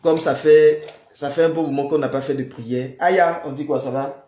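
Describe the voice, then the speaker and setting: A man's voice: fairly high pitch, fairly even intonation, normal speed, warm tone. Man, has the flu, prayer livestream host, chatty, greets viewers by name, echoey room. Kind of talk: casual livestream chat. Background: noise of building work.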